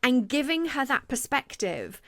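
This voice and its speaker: A woman's voice: high-pitched, a little slow, dry recording. A woman co-hosting a podcast, telling a work story in a conversational way and acting out quoted lines. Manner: animated, acts out quoted speech. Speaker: podcast co-host.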